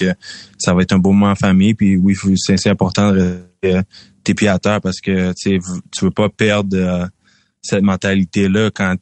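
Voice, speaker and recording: A young man speaks with a Québécois French accent, and his voice sits fairly low. He answers in a relaxed way, with lots of fillers and restarts. The sound is dry and close. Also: no echo. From Quebec. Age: young adult. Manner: relaxed, repeats words, many fillers. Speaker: football draft prospect guest. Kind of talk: casual interview answers.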